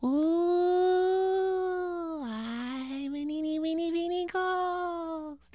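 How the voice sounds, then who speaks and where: A man voicing a small, playful ghost that wails with high, drawn-out moans. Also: darkish tone, dry recording, full character voice. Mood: spooky, teasing. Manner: high wavering drawn-out ooh sounds.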